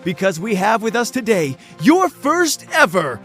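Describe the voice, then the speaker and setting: A man's voice: sits high, sing-song, fairly bright tone, faint music behind, exaggerated voice. A man playing a flamboyant, cynical game show host in an audio drama, slick, mocking and theatrically condescending. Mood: showy, gleeful. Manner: theatrical, condescending, drawn-out showy delivery. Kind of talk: bombastic TV game show presenting.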